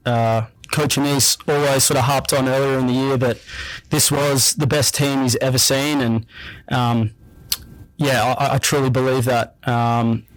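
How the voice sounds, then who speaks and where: Young Australian guy as a relaxed radio guest, average pitch, close and dry. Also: no room sound, low-quality recording. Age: young adult. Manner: relaxed, easygoing, repeats himself with frequent fillers. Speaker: guest athlete. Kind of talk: casual radio interview.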